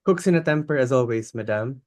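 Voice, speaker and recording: A man's voice: mid-range voice, animated, fairly quick, darkish tone, natural voice. A man playing a polite, deferential household butler in a dramatic reading of a stage play, speaking formally and attentively. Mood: polite, apologetic.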